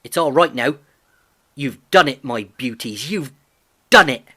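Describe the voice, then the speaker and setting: A man's voice: mid-range voice, expressive, unhurried, bright, forceful tone, slightly rough, full character voice. A man reading an audiobook, voicing an earnest, devoted fifteen-year-old farm boy with boyish enthusiasm. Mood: proud. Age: 15 (figure). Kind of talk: audiobook character voice.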